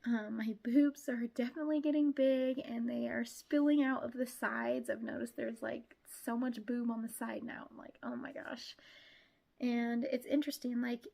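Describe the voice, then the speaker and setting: A woman's voice: a little high, fairly even intonation, normal speed, creaky, dark tone. A young adult woman giving a casual vlog update, chatty with frequent laughs and a congested, stuffy voice from a cold. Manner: chatty, laughs often, many "like"s. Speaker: vlogger.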